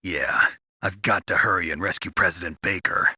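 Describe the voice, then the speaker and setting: A man playing a gruff, hardened special-forces soldier in a video game, with a gravelly rasp and a terse, probing manner. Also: low voice, moderate pace, sharp tone, dry recording, clean studio sound, full character voice. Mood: tense, focused. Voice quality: gravelly, raspy. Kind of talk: scripted video game voice acting.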